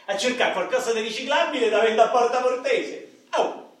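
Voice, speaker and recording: A man's voice: very high voice, moderate pace. An actor playing a middle-aged Roman ex-robber, a boastful and lonely braggart telling his story in theatrical, streetwise radio-drama monologue. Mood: wry bragging. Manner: animated streetwise storytelling, swinging from bragging to self-pity. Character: boastful, lonely ex-robber nearing fifty, coke-loving braggart. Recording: echoey stage hall, distant mic, faint noise.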